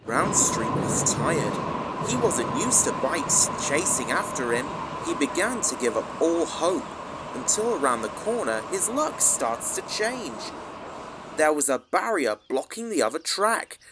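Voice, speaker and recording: A man's voice: fairly high pitch, sing-song, a little slow, very noisy. A man reading a scripted children's story as a narrator, with music behind him. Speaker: narrator of a fan dub.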